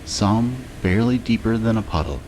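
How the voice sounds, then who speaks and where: A man narrating a scripted guided meditation in a measured way, with long pauses between short phrases. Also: fairly low pitch, expressive, darkish tone, a little hiss or hum.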